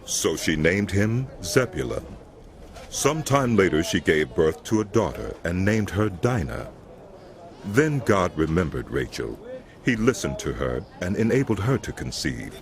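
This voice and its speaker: A man's voice: deep voice, sing-song, unhurried. A man giving scripted narration for a dramatized audio Bible.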